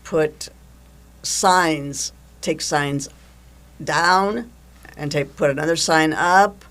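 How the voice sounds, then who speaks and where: An older woman who coordinates events for a theater group, talking casually and haltingly in an interview. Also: low voice, a bit bright.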